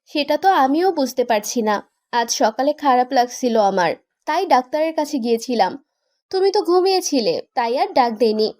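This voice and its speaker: A woman's voice: sits high, lively intonation, moderate pace, a little mellow, dry recording. A woman voicing an affectionate, playful young wife in a romantic audio drama, doting and teasing. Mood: explaining.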